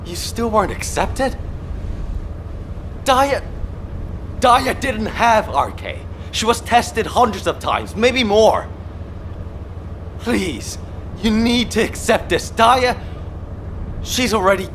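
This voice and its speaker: A man's voice: high-pitched, sing-song, takes it slowly, pauses often, fairly bright tone, heavily acted. A man playing a worried military subordinate in a video game drama, earnest and imploring in manner. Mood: pleading, distressed. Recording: clear background noise.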